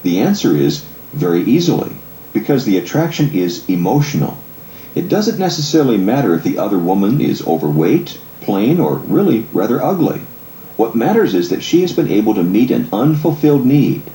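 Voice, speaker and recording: A man with a deep, mellow voice narrates an audiobook from a script. His delivery is measured and articulate, explaining each point clearly. The recording has a roomy echo around the voice. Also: very animated, slight background noise.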